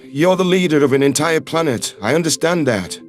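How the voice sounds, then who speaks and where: A man's voice: fairly high pitch, animated, brisk, soft background music, voice pushed down, close to an everyday voice. A man voicing an earnest, lovestruck human ambassador in a sci-fi audio drama, speaking in a heartfelt, pleading way. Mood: pleading, romantic. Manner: pleading, heartfelt, occasional swearing.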